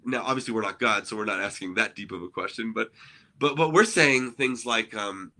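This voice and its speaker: A man's voice: on the low side, a little fast, sharp tone. A man who grew up in Argentina, co-hosting a conversational discussion. He explains ideas earnestly and often checks in with "right?". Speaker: co-host, ministry innovation speaker.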